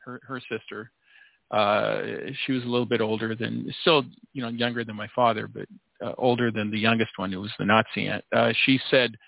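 Man in his sixties, interview guest, halting and rambling delivery, tinny phone line. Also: on the low side, fairly even intonation, vocal fry, no room sound. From United States. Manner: rambling, many restarts and fillers. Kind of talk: casual interview chat.

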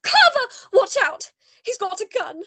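A woman playing an anxious, pleading gentlewoman in a melodramatic radio play, earnest and emotional. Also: extremely high pitch, sing-song, measured pace, no echo, heavily acted. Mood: alarmed.

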